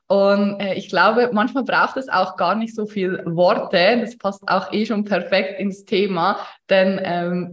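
Woman hosting an interview podcast. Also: mid-range voice.

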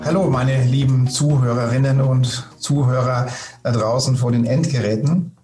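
Male podcast host, effusive, repetitive phrasing, conversational introduction, medium pitch, roomy echo. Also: fairly even intonation, moderate pace, warm tone, faint music behind. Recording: roomy, echoing sound.

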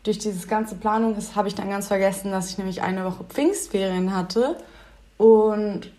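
A woman in her twenties, a student guesting on a podcast, chatting playfully with a slightly rough voice. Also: medium pitch, moderate pace, warm tone. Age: 20s. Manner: playful, teasing. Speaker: podcast guest, host's sister. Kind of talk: casual chat.

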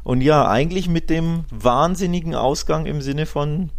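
A man's voice: fairly high pitch, normal speed. Middle-aged man chatting on a football podcast. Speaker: podcast co-host. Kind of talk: casual football podcast chat.

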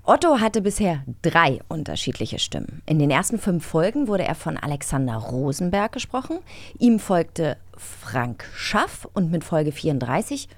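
Woman co-host, lowish voice, really animated intonation, casual podcast chat. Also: normal speed, no echo.

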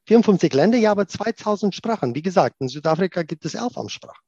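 A middle-aged man from South Africa whose first language is Afrikaans, speaking German with an Afrikaans accent, answering interview questions in an animated way, with many restarts and fillers and the odd English phrase. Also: high voice, quick pace, breathy edge, no echo, lo-fi sound. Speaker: tour operator guest.

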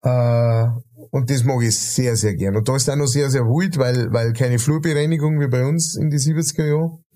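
Bavarian guy chatting on a podcast, kinda mellow dark voice, lots of ums and restarts. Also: mid-range voice, moderate pace. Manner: rambling, many fillers and restarts. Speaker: podcast co-host. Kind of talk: casual chat.

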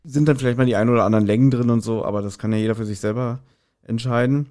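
A man speaks at a middling pitch in a casual podcast discussion. He explains things in a colloquial way, as a co-host would, and often looks for agreement from the other person. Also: fairly even intonation, very fast, dark tone.

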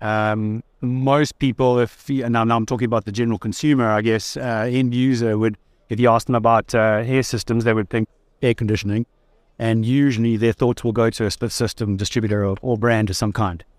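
A man's voice: mid-range voice, level tone, brisk, dry recording, clean studio sound. A South African man from Durban, a guest in a conversational interview recorded at a trade fair stand, talking in an easygoing, thoughtful way with light jokes.

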